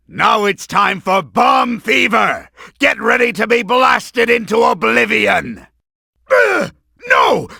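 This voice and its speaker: A man's voice: extremely high pitch, gravelly, bright, forceful tone, breathy edge, dry recording, clean studio sound, exaggerated voice. A man voicing a bombastic, scheming middle-aged mad-scientist villain in a video game, theatrical and blustering, with a hot temper. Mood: gloating, maniacal, then panicked, outraged.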